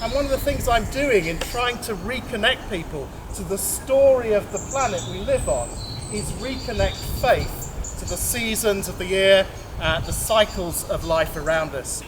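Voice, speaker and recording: A man's voice: high voice, animated, normal speed. A man, a priest, giving a chatty, explaining talk to a gathering and laughing at his own jokes. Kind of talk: informal address to a gathering. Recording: noisy outdoor ambience.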